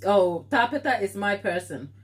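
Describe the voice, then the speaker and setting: A woman's voice: mid-range voice, animated, moderate pace. Ghanaian woman, lightly English-tinged accent, medium voice, easygoing livestream baker who chats and drifts onto tangents. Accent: Ghanaian with English influence. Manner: chatty, tangent-prone, reads and answers viewer comments. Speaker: baking livestream host. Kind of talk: casual live-stream chat while baking.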